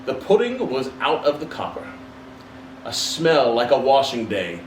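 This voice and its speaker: Roomy, expressive male storybook reader. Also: medium pitch, measured pace. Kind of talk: expressive dramatic literary narration. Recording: roomy, distant mic, faint background noise.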